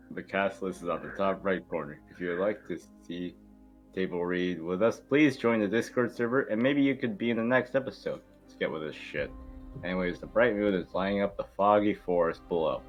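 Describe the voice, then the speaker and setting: A man's voice: low-pitched, moderate pace, soft background music. A man hosting and narrating an amateur table read, informal and sometimes stumbling over the script. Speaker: host and narrator.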